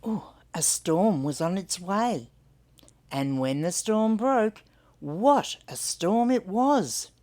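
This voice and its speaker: A woman's voice: mid-range voice, lively intonation, slow pace, dark tone. An older woman with an Australian accent reading a storybook aloud to children, expressively and with questions for young listeners.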